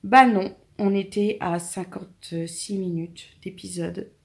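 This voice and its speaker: A woman's voice: medium pitch, steady tone, slow, gravelly. A woman in her late twenties with a French accent, chatting casually to camera in a family vlog, rambling about her day. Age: 20s. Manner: chatty, rambling, talks softly to her cats. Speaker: family vlogger.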